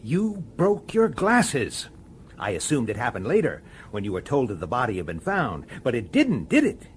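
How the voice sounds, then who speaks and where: An actor playing a weary, dogged middle-aged police detective in a science fiction radio drama, pressing and intense. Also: male voice, medium pitch, huge pitch swings, moderate pace, a little hiss or hum. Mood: accusing, triumphant. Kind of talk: radio drama acting with first-person narration.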